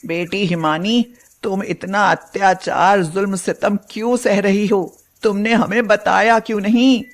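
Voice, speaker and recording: A woman's voice: mid-range voice, fairly even intonation, a little slow, close to an everyday voice. A woman playing a protective middle-aged mother, emphatic and indignant, in a melodramatic cartoon moral story.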